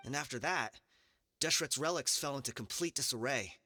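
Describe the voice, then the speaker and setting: A man's voice: mid-range voice, moderate pace, pushed and bright, no room sound, clean studio sound. An actor playing a brash, dismissive male desert gang member in an anime game. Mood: scornful, recounting.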